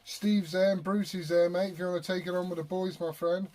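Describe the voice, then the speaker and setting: A man's voice: sits high, little pitch movement, a little fast, a little mellow. A British man chatting casually as a CB radio operator, blokey and sweary in his talk. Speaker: CB radio operator and video maker.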